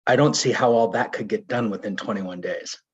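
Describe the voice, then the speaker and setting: A man with a hoarse, husky voice raises careful, probing questions at a public hearing. He talks over a remote video call, and the sound is dull and lo-fi. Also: lowish voice. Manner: deliberate, probing questions with restarts. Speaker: resident commenting at public hearing. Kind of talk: remote public meeting comment.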